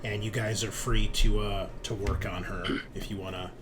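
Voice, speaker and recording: A man running a tabletop roleplaying game, narrating and explaining rules in a halting, think-aloud way. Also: lowish voice, level tone, loud music behind the voice. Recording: clear background noise.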